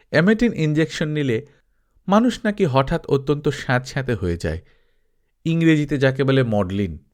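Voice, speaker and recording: A man reading a literary travelogue as an expressive, theatrical storyteller. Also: medium pitch. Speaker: audiobook narrator.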